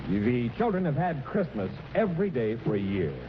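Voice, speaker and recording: A man's voice: mid-range voice, very animated, moderate pace. A man narrating a 1940s radio comedy tale as a mock-solemn storyteller. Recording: noisy, muffled 1940s radio recording.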